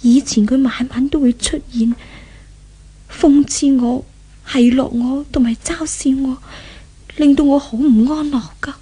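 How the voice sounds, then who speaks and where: Casting: tormented, once-pampered young woman, Hong Kong Cantonese radio drama, high and mellow, breathy, remorseful. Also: steady tone, unhurried, heavily acted. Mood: weary, puzzled. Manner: dramatic, breathy monologue with sighs. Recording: 1970s radio drama recording.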